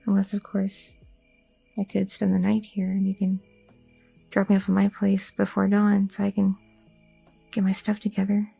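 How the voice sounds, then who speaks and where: A woman voicing a sweet, anxious young goth girlfriend in an ASMR roleplay, talking softly and a little hesitantly, close to the mic. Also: medium pitch, monotone, moderate pace, vocal fry, pauses often, slightly rough, soft background music, voice pushed down, rough recording. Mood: sleepy, affectionate. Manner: soft, affectionate, a little hesitant, with fillers.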